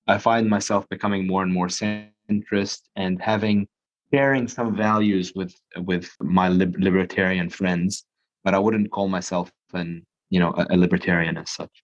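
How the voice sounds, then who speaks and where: A man with a very low voice talks in a measured, explanatory way and often restarts his sentences. The sound is dull and lo-fi. He is a young adult guest on a podcast. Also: level tone. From Dubai. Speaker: guest, surgeon. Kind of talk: podcast interview answers.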